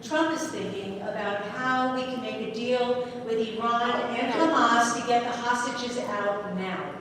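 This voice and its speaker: A woman moderating an event, briskly introducing questions and relaying them. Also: fairly high pitch, a crowd in the background. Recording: echoey hall from far off, background noise.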